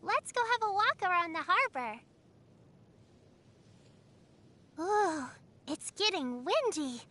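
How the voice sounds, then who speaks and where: A woman's voice: extremely high pitch, big pitch swings, a little slow, pushed and bright, full character voice. A woman voicing a sweet, caring little girl in an anime video game, polite and earnest with childlike wonder. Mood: excited, motherly.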